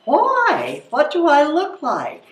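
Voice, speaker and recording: A woman's voice: sits high, wildly swooping pitch, slow, full character voice. A woman playing a puzzled, skeptical newborn baby in a readers theatre comedy, asking dry questions. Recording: echoey stage recording with faint noise.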